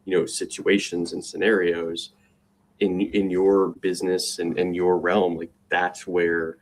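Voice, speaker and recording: A man's voice: deep voice, little pitch movement, a little slow, rough recording. A man co-hosting a business podcast, chatting in an upbeat, loose way with lots of fillers and restarts. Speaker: podcast co-host. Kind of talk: casual chat.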